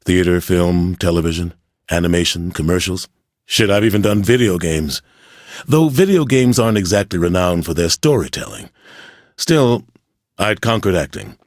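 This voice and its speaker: An actor playing a vain, self-assured movie star turned politician in a theatrical video game monologue. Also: male voice, sits low, normal speed, no room sound, studio quality, close to an everyday voice. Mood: smug, wry. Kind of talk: scripted character monologue.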